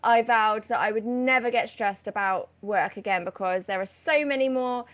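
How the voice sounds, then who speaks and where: A woman's voice: fairly high pitch, normal speed, a bit bright. A woman speaking casually as the host of an interview chat. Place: remote online video chat.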